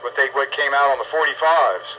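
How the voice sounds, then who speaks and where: A man's voice: medium pitch, fairly quick. A plainspoken, folksy man chatting casually over hobby radio. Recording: dull radio transmission with some noise.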